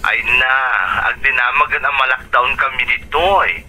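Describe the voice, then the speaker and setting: Bright, sharp uncle on the phone. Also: male voice, medium pitch, fairly even intonation, normal speed, low-quality recording. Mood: concerned. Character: concerned uncle calling from abroad. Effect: telephone voice. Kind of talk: Ilocano radio drama acting.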